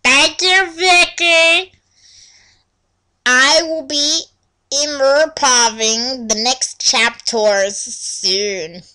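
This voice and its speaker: Woman doing a gushing, very high-pitched fangirl. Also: pushed and bright, heavily acted. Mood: chirpy. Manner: exaggerated, drawn-out, gushing. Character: gushing, excitable teenage fangirl author reading her notes. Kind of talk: mocking dramatic reading of author's notes.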